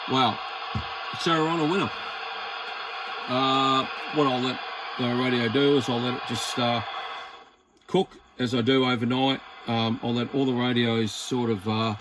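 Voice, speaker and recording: Laid-back Australian man over clear background noise. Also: medium pitch, a bit bright, poor audio. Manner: rambling, laid-back, hesitant phrasing. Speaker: radio hobbyist presenting a video. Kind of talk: casual chat while demonstrating equipment.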